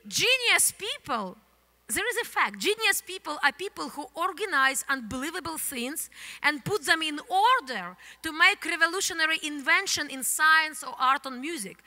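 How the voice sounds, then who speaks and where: A woman pastor in her thirties from the Soviet Union, with a Ukrainian accent, preaching an animated sermon into a handheld microphone on a church stage. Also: sits high, moderate pace. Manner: animated, gesturing, non-native English phrasing. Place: church stage, indoors, large hall. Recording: long hall reverb from the church.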